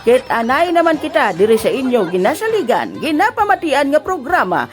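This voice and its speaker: A woman's voice: high-pitched, a little fast. A woman voicing a cheerful, teasing old grandpa who hosts a comedy radio program with exclamations and announcements. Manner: animated, exclaiming, giggly. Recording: music behind the voice.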